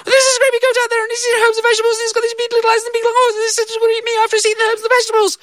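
A storyteller voicing a scared little girl who babbles in a very high, rushed voice. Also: steady tone, a bit bright, no room sound, studio quality. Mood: frantic. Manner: frantic, breathless babbling.

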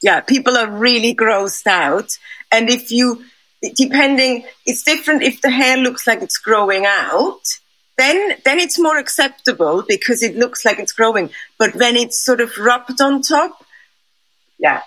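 A woman's voice: fairly high pitch, lively intonation, measured pace, bright, forceful tone, poor audio. Hesitant raised woman sculptor. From Switzerland. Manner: hesitant, many restarts and fillers, thoughtful. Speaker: sculptor, interview guest. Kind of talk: conversational interview answers.

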